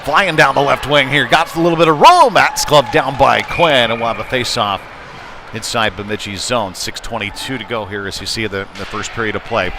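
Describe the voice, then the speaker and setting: A man giving live ice hockey play-by-play in short clipped calls with hesitant pauses. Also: mid-range voice, music in the background. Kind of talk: live sports commentary. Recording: clear background noise, live rink ambience. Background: ambient sports venue noise.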